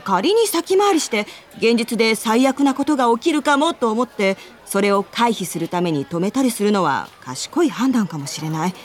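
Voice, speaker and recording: A woman playing a perky, forthright young senior colleague, expressive and casual, in a radio drama. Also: on the high side, moderate pace, hardly any pauses, slight background noise, lightly acted. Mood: challenging.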